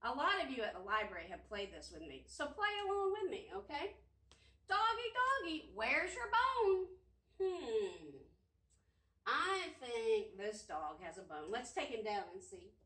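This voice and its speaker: A woman librarian leading an interactive storytime for young children, talking in an encouraging, animated way with sing-song questions. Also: a little high, measured pace. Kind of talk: interactive preschool storytime talk. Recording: roomy echo, distant microphone.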